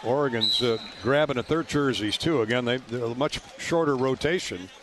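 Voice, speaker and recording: Man calling basketball over loud arena noise. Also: mid-range voice, moderate pace. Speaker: radio play-by-play announcer. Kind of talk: live basketball play-by-play commentary. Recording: loud arena noise behind courtside radio call. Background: ball bounces and gym ambience.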